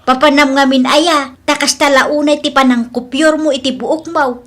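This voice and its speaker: A woman's voice: sits high, fairly even intonation, normal speed, fairly bright tone. A woman playing a nagging, sceptical mother in a comedic radio drama, with a questioning, scolding manner and a Filipino accent.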